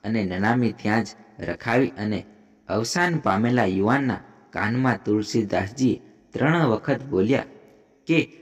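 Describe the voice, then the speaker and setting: A man's voice: fairly low pitch, measured pace, breathy edge. A man with a Gujarati accent reading a scripted devotional moral story as a storyteller. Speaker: storyteller, channel host.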